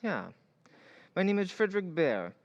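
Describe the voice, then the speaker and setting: A man playing a gentle German professor who loves poetry, speaking with deliberate, formal phrasing in old-time radio drama style. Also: sits high, very animated, no echo, lo-fi sound. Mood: courteous, modest. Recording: prominent music behind the voice.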